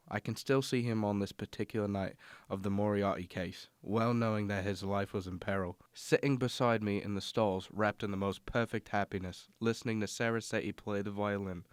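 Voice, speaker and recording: Man acting as measured, low-voiced gentleman companion. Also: fairly even intonation, clean tone, no echo, close to an everyday voice. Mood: reflective. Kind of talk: radio drama narration and dialogue.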